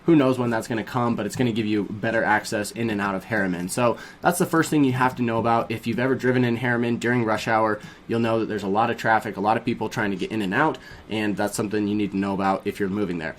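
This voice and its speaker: A man's voice: mid-range voice, a little hiss or hum. A young man in a small home studio, talking viewers through a map in an upbeat, casual way, a little sniffly from a cold. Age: young adult. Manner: upbeat, fast-talking, addresses viewers as guys. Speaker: real estate agent. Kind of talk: casual explainer vlog.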